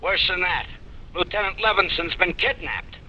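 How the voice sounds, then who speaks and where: A flustered, whiny comic police sergeant in a radio play. His voice is raised in pitch and comes small through a telephone. The old recording is muffled. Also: normal speed, very noisy. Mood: agitated. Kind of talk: 1940s-50s radio comedy-drama acting.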